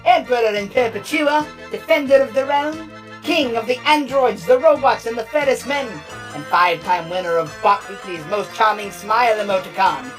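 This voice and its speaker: A woman's voice: on the high side, moderate pace, natural voice. A woman voicing a vain, grandiose robot leader testifying in court in a theatrical, pompous, oratorical dramatic reading. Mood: bombastic. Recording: echoey hall reverb, distant, music behind.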